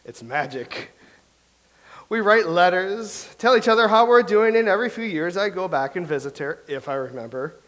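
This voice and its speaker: An actor plays a chatty young playwright who rambles on and recites verse slowly. His voice sits fairly high for a man. He sounds boastful and talkative. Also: animated, a bit bright, rough recording. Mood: rambling. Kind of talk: staged play reading.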